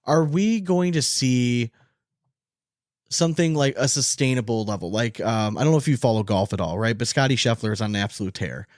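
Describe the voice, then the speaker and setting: A man's voice: mid-range voice, animated, fairly quick, dry recording, clean studio sound. A man hosting a sports podcast in casual conversation, asking long, rambling questions. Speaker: podcast host.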